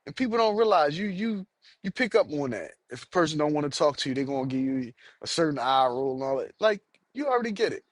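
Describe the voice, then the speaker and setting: A man's voice: on the high side, animated, fast talker, no echo. A man hosting his own podcast, ranting heatedly and profanely, with frequent stumbles and repeated words. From United States. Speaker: podcast host. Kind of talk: solo podcast rant.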